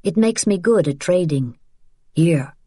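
A woman's voice: on the low side, animated, unhurried, mellow, husky, dry recording, studio quality. A woman voicing a tough, shrewd old French farmhouse woman with a terse, knowing manner, in an audiobook.

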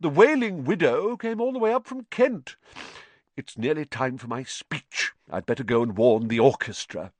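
Man playing a proud, genteel gentleman ghost. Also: mid-range voice, moderate pace, hardly any pauses, no room sound, natural voice. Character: proud, touchy gentleman ghost hosting his own party.

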